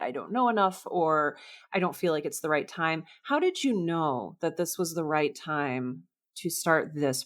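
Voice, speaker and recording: A woman's voice: deep voice, a bit bright. A woman hosting a podcast, asking questions in a casual, conversational interview style.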